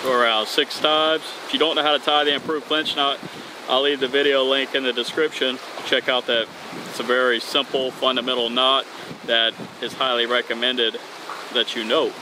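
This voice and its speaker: A man's voice: medium pitch, little pitch movement, moderate pace. A man in his thirties hosting an outdoor fishing tutorial, talking casually and upbeat as he works with his hands on a bridge over open water. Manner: upbeat, casual, talks while tying knots. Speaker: fishing channel host. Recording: outdoor recording with wind and water noise. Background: wind and waves.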